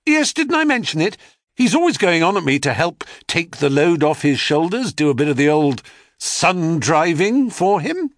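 A man voicing a proud, sulky boy, the son of a god, who talks high and defiant. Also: sing-song, moderate pace. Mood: boastful. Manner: high-pitched, boastful, defiant.

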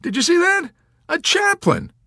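Guy doing suspicious army lieutenant, voice shoved way high, demanding, audiobook style. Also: big pitch swings, a little slow, bright, forceful tone, dry recording, clean studio sound, exaggerated voice. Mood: incredulous. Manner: exclamatory, demanding. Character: excitable, suspicious hospitalized lieutenant.

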